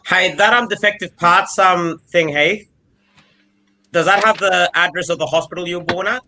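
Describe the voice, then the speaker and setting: A man's voice: high voice, moderate pace, sharp tone. A man in his early thirties chatting casually and teasing the others on a group livestream. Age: early 30s. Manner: bantering, teasing. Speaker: guest modeler on a livestream. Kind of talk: casual chat on a group call.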